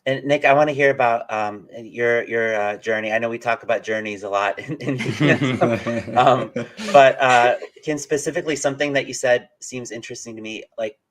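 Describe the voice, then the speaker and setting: A man's voice: medium pitch, normal speed, fairly bright tone. Casual, roundabout male interviewer. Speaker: interviewer, channel host.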